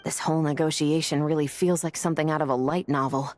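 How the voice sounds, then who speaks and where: A woman's voice: sits low, fairly even intonation, fast talker, a little mellow, a little hiss or hum, close to an everyday voice. An actress voicing a serious, direct, businesslike woman investigator in a video game. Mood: musing.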